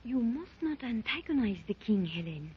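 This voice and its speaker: A composed, diplomatic captive woman in an adventure serial. Her voice is very husky and moves dramatically up and down. She speaks in the earnest 1930s radio manner, on a dull, aged recording. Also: on the high side, sing-song, full character voice. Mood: earnest counsel. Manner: earnest, measured, theatrical.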